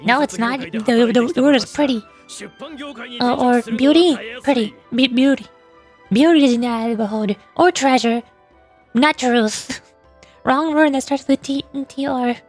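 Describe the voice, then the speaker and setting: A woman with a high voice reads game dialogue aloud as a streamer. Her delivery is playful, expressive and cartoonish, with faint music behind her. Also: moderate pace. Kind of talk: playful game read-along with amateur voice acting.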